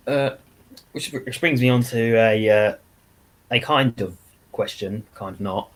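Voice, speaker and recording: A man's voice: medium pitch, unhurried, a bit bright. A man co-hosting a casual podcast, hesitant and stammering as he repeats words. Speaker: podcast co-host.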